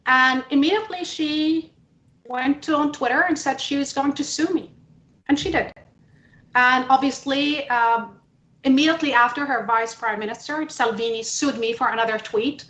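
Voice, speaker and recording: A woman speaks in a fairly high voice with emphatic, deliberate delivery, like a journalist testifying on a panel. She is from the Middle East. The room around her is clearly echoey. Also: fairly bright tone. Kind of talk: panel testimony, impassioned political talk.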